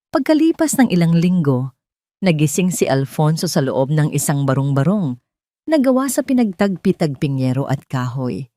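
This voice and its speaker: A woman's voice: low voice, darkish tone, dry recording, studio quality. A woman with a Filipino accent narrating a scripted dramatic audio story in a solemn, emotive way.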